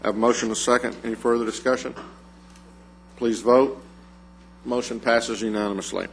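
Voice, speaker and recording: A man's voice: mid-range voice, steady tone, vocal fry, slight background noise. Man chairing a county meeting. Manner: measured, formal reading cadence. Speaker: county judge chairing the court. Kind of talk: formal meeting procedure and proclamation reading.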